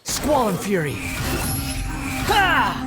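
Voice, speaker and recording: A woman's voice: a little high, wildly swooping pitch, extremely slow, heavily acted. A woman voicing a feisty young female fighter in a video game, giving short, exerted battle cries. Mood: fierce, exerted. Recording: game music behind.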